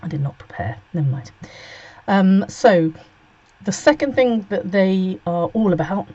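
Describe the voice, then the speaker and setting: A man's voice: high-pitched, expressive, a little slow, mellow. Sarcastic, blunt man, dull lo-fi sound. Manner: sarcastic, blunt, swears freely. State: sniffly with a cold. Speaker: host. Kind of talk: casual solo monologue. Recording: dull lo-fi recording.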